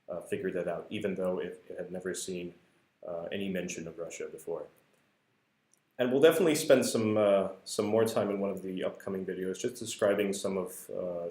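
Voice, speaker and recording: A man's voice: deep voice, darkish tone. A man explaining a research paper at a whiteboard in a casual, hesitant way, with many fillers and restarts. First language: not English. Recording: echoey room.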